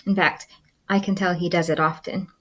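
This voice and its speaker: A woman who works as a school librarian, reading a children's picture book aloud with expressive, dramatic storytelling. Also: on the low side, moderate pace, noisy background.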